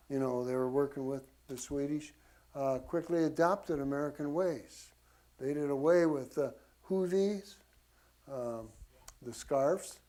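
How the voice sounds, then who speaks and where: A man's voice: on the high side, dark tone. A man giving an informal history lecture to a group, in a folksy way with casual asides to his listeners. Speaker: church class teacher.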